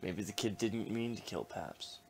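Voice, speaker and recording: A man's voice: lowish voice, a little slow, a little mellow, slight background noise. A man voicing a wry, weary, half-dead skeleton with a laid-back joking manner in a comic dub. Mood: somber. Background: music.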